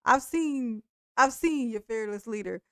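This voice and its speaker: A woman in her forties with a high, gravelly voice talks bluntly and profanely. Her voice is smooth underneath the gravel, and the sound is dry and close. She laughs often and restarts her sentences. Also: measured pace, no echo. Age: 40s. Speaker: streamer. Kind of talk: casual live-stream chat.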